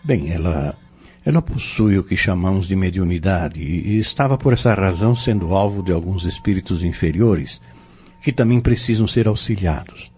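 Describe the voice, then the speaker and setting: Man acting kindly solemn brazilian healer in a radio play, low husky voice, formal and preachy. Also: expressive, a little mellow, faint music behind. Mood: calm, reassuring. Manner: formal, measured, preacherly phrasing. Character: kindly, solemn pharmacist and spiritist healer, male. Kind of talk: audio drama acting. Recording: muffled, dull lo-fi recording.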